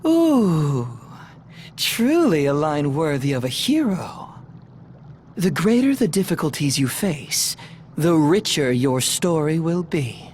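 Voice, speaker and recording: An actor playing a pompous, theatrical game villain who savors a hero's struggles, speaking in formal phrases. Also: male voice, fairly high pitch, very animated, slow, a little mellow, soft background music. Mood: amused, theatrical. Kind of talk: scripted video game acting.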